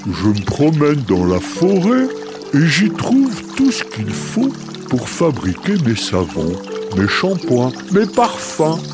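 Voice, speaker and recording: A big-hearted jolly hippopotamus from a children's story, played by a man. He speaks slowly and relishes each word, with hugely lively intonation. Music plays behind him. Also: mid-range voice. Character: jolly hippopotamus who loves perfumed baths. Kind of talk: children's audio story acting.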